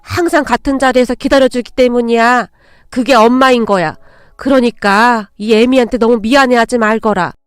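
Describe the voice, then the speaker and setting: A woman's voice: fairly high pitch, normal speed, smooth, dry recording, studio quality, lightly acted. A woman voicing a protective older mother-in-law in a dramatized story, with a folksy, old-fashioned elder's way of speaking. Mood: tender, consoling. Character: fierce, protective older mother-in-law, tender with her own.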